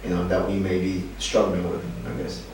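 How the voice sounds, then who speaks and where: Man in his thirties, very deep voice, thoughtful and hesitant delivery, recorded off-mic in a cavernous, echoey room. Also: a little fast. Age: 30s. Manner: thoughtful, hesitant "um"s, steady affirmations. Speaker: football coach, podcast co-host. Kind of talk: casual group discussion. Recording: echoey room, distant mic, faint noise.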